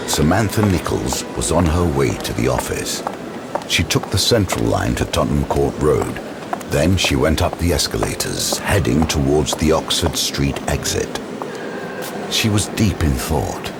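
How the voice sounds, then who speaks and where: An actor playing a grave, ominous storyteller, narrating a horror audio drama with measured suspense. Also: male voice, rumbling low, sing-song, gravelly, over loud music, full character voice. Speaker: third-person narrator.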